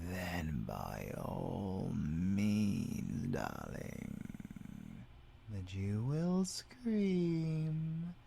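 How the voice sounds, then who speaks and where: A man's voice: fairly low pitch, gravelly, a little mellow, a little hiss or hum, exaggerated voice. A British man playing a sadistic, gloating male werewolf villain with a silky, menacing delivery that drops into whispers. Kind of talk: audio roleplay acting.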